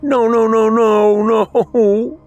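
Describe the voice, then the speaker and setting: A man's voice: sits high, steady tone, very slow, darkish tone, dull audio, voice pushed up. A man voicing a frightened young boy in a horror story, whining, gasping and pleading. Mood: panicked, crying. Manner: whining, gasping, crying pleas.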